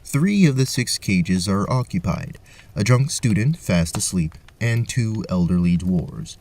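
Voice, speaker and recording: A man's voice: lowish voice, very animated, unhurried, vocal fry, a little mellow, recorded from a distance. A man narrating a scripted tabletop fantasy story as the game master.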